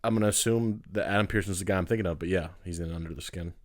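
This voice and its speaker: A man's voice: sits low, very fast. A man co-hosting a film podcast in casual, joking chat, laughing often and swearing freely. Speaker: podcast co-host.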